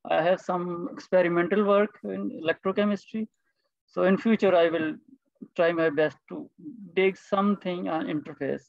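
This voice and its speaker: A man from Pakistan, a chemistry PhD candidate, introducing his research haltingly in an online meeting. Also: high voice, dry recording, muffled recording. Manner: hesitant, many restarts.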